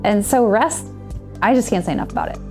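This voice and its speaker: Woman, 30s, earnest testimonial, warm dark tone, faint music underneath. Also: medium pitch, expressive, brisk. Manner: earnest, personal storytelling. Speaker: client and certified coach. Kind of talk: scripted testimonial.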